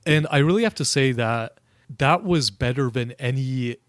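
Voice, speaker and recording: A man's voice: mid-range voice, normal speed, no echo, clean studio sound. A man being interviewed, recounting his experiences in an earnest, conversational way and often checking in with the listener. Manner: earnest, keeps checking in with tag questions. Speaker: interview guest. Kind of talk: conversational storytelling.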